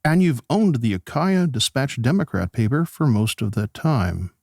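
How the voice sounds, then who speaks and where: An actor playing a polite radio host who gently probes his political guests in a conversational interview style. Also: medium pitch, expressive, normal speed, no echo, clean studio sound. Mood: polite, curious. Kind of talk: pseudo-radio play interview.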